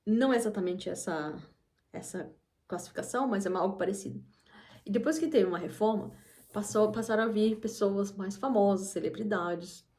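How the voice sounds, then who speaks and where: Mellow-voiced woman vlogging. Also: mid-range voice, moderate pace. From Brazil. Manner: rambling, many restarts and asides. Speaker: English teacher and channel host. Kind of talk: casual talk to camera.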